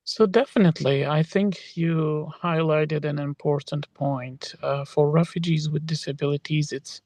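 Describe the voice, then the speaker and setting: A man's voice: on the high side, fairly even intonation, unhurried, a little mellow, no room sound. Man, young adult, from Iraq, interviewee, hesitant, dry recording. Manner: hesitant, many fillers and restarts. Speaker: interviewee, PhD student in social work. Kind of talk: casual interview answers.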